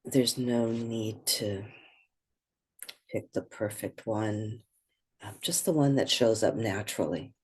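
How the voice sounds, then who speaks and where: A meditation guide speaking gently and without hurry, leaving pauses between short phrases. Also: medium pitch, husky.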